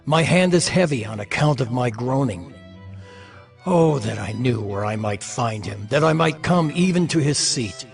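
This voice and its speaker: A solemn biblical sufferer, played by a man, laments in a bitter, defiant voice of middling pitch. The delivery is declamatory, in the manner of dramatized scripture, with prominent music underneath. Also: lively intonation, moderate pace, vocal fry. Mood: bitter, pleading.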